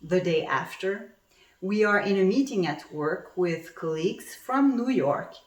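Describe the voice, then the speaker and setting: A woman's voice: mid-range voice, expressive, measured pace, a little mellow. Woman coaching, roomy distant sound. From Canada. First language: French. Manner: coaching tone, tells personal stories, poses questions to the audience. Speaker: communication coach. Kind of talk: online conference presentation.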